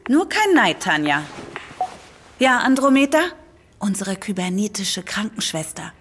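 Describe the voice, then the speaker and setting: A level-headed female scientist with a brisk, mid-pitched voice. She explains technical matters quickly and pushes back indignantly, in a German radio play. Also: big pitch swings, a little hiss or hum.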